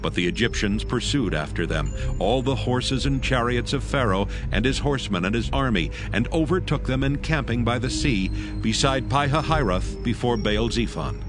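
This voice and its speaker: A man narrating a dramatized Bible in a solemn, measured, scripted style. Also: mid-range voice. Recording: loud music under the narration. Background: loud music.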